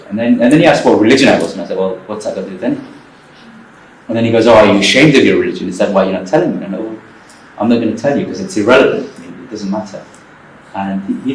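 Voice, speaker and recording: A man from Bangladesh sits on a panel and tells stories in a conversational, halting way, acting out the exchanges he quotes. His voice sits low. The sound is roomy and distant, with faint background noise. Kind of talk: panel discussion remarks. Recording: echoey hall from far off, faint noise.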